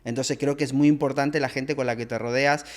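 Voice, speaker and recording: A man's voice: medium pitch, fairly even intonation, brisk. A middle-aged man from Argentina, a leadership coach answering interview questions in a reflective way, with many fillers and tag questions.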